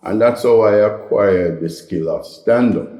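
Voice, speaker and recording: A middle-aged Jamaican man, a comedian, telling stories in a relaxed, rambling interview, often tagging his lines with "right?" and "you know". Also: on the low side, a little slow, roomy sound. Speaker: comedian guest.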